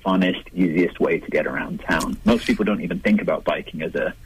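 A man's voice: sits low, fairly quick, a little hiss or hum, poor audio. A man, an author, answering interview questions in a thoughtful, conversational way with frequent restarts. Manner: thoughtful, restarts, laughs lightly. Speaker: author guest.

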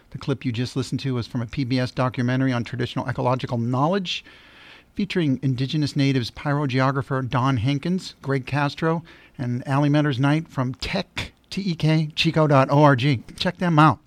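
A man's voice: a little high, no echo. A man hosting a community radio show, introducing guests with an upbeat announcer delivery. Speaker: radio host.

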